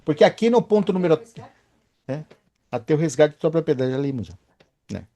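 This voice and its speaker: A man's voice: on the high side, lively intonation, a little fast, mellow, dull audio. A man leading a Bible study, emphatic and often asking his listeners for agreement.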